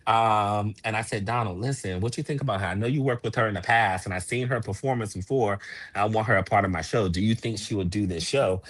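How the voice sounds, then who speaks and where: Low-voiced man in casual interview. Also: brisk. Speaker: playwright and producer, guest.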